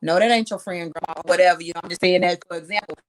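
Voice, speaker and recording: A woman's voice: fairly low pitch, fairly even intonation, fast, low-quality recording. A woman hosting an online group discussion, talking frankly and folksily and addressing the listeners as ladies and sis. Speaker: discussion host. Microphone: online call mic.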